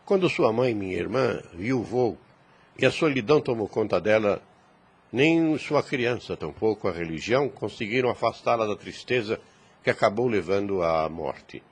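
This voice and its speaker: A man playing a grave, kindly older priest and uncle in an audio drama, speaking in a measured, formal, fatherly way. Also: lowish voice, lively intonation. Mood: grave, reflective. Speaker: voice actor. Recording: clear background noise.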